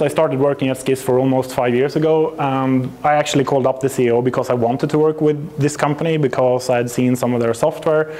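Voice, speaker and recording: A man's voice: medium pitch, darkish tone, slight background noise. Swedish-accented man giving a conference talk. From Sweden. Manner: chatty, self-deprecating, with frequent ums and restarts. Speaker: conference presenter. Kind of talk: conference presentation. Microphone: lapel mic.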